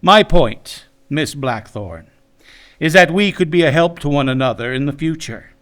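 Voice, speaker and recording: Man acting smooth, higher-voiced smug crime boss. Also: lively intonation, normal speed, a bit bright. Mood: coolly threatening. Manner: condescending, mock-polite, theatrical. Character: smug, menacing male crime boss with silky courtesy. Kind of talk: noir radio drama villain acting.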